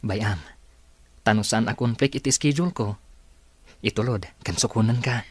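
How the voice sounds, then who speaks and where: A man's voice: fairly low pitch, normal speed, slightly rough, dry recording. An actor with a Filipino accent playing an attentive young man in a radio drama. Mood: friendly, tender. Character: attentive young man courting his friend.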